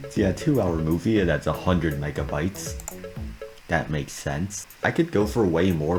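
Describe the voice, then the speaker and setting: Low voiced guy talking thru his game modding, amused and reactive, music playing behind him. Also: a little mellow. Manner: amused, reactive, talking through the task as it happens. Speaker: video creator narrating a game modding experiment. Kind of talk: casual gaming commentary. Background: background music.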